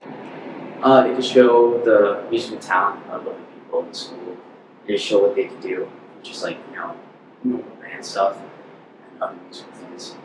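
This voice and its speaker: Low-voiced teen boy in a roomy space. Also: moderate pace, pauses often. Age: teens. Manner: relaxed, hesitant, filler-heavy. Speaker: student musician. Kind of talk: casual interview answers. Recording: roomy sound from a distance with noise.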